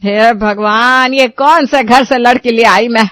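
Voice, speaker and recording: A woman's voice: on the high side, fairly even intonation, a little slow, a bit bright, no room sound. A woman voicing a nagging, sarcastic middle-aged mother-in-law in a cartoon story, chiding with rhetorical questions. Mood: disapproving. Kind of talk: Hindi cartoon moral story voice acting.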